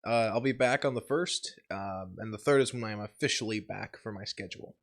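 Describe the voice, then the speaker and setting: A young man sick with a cold, his voice deeper than usual, telling a rambling vlog story with lots of swearing and laughter. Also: brisk. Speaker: channel host. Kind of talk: casual vlog update.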